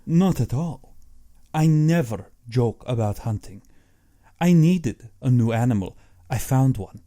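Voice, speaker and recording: A man's voice: mid-range voice, expressive, measured pace, mellow, close to an everyday voice. A man voicing an urbane, boastful, cold-blooded older general and hunter, pompous and precise in an audiobook. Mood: smug, relishing. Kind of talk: audiobook character acting.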